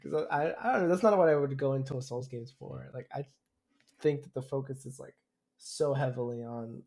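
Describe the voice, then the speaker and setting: A man's voice: mid-range voice, a little fast, vocal fry, a little mellow. Casual, hesitant man chatting about hobbies. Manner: hesitant, rambling, repeats phrases. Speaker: podcast co-host. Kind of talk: casual gaming podcast chat.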